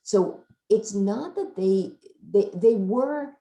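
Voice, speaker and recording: A woman's voice: medium pitch, lively intonation, slow pace, warm tone, echoey room. A woman leading a thoughtful, analytical book discussion, circling through her sentences with hedges as she works out her points. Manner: hedging, circling sentences with frequent "sort of". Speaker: discussion leader at a book talk.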